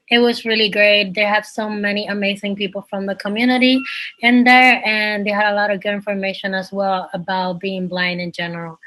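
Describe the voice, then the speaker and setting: A woman's voice: mid-range voice, poor audio. A young woman hosting her own vlog, chatty and upbeat as she introduces the video. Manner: upbeat, chatty, switches to lively quick asides. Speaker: vlog host.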